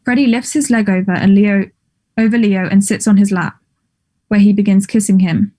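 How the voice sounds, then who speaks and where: Female narrator for a play reading, mellow mid-pitched voice, neutral and measured. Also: fairly even intonation. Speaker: stage directions narrator. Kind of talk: scripted narration.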